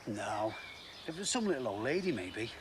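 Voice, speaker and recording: A woman's voice: extremely low pitch, sing-song, normal speed. An actress playing an older woman who teases a girl fondly. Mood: teasing. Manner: teasing, affectionate. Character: teasing older woman, fond and cheeky. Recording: outdoor noise in a drama scene.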